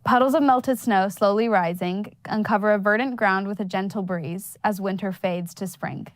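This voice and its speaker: A woman's voice: mid-range voice, normal speed, dry recording. Woman reciting poetry, very dry sound. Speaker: student poet.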